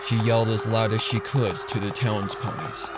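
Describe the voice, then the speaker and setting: A man's voice: lowish voice, fairly even intonation, moderate pace, heavy background noise. A man reading a scripted story as a dramatic narrator. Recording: narration over music and sirens.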